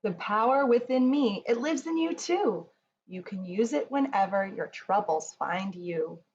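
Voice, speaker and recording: Woman doing calm kids relaxation reading, slow measured pace, soft gentle manner, slightly muffled recording. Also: mid-range voice. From Canada. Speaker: children's yoga teacher reading and guiding relaxation. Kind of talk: slow guided relaxation and read-aloud for children. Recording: slightly dull sound, faint noise.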